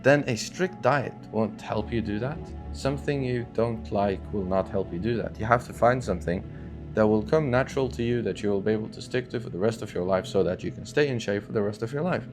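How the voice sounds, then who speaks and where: An earnest young man in his twenties speaks in a slightly low voice, loose and unscripted, full of "like" and restarts. Faint guitar music plays softly behind him. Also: brisk. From Syria, raised in Sweden. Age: 20s. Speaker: online entrepreneur. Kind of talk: talking-head motivational vlog.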